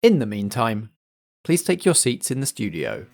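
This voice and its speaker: A man's voice: medium pitch, wildly swooping pitch, normal speed, vocal fry, darkish tone. A man hosting a podcast, giving a scripted introduction to the show. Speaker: podcast host.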